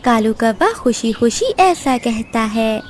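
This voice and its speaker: A woman's voice: a little high, very animated, slow pace, noisy background. A woman narrating a scripted children's cartoon story.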